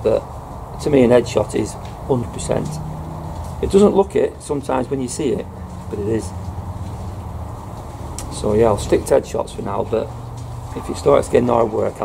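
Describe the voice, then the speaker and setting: A man's voice: normal speed, gravelly, pauses often. A middle-aged man, chatting casually to the camera about airgun pest control from inside a farm building. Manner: low-key, chatty, fillers like "you know". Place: farm building loft, indoors. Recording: noisy outdoor-farm ambience.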